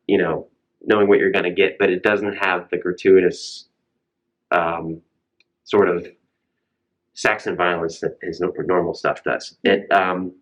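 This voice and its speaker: A man's voice: deep voice, fairly bright tone, poor audio. A man co-hosting a casual recommendation show, talking informally about movies with hesitant phrasing. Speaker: show co-host.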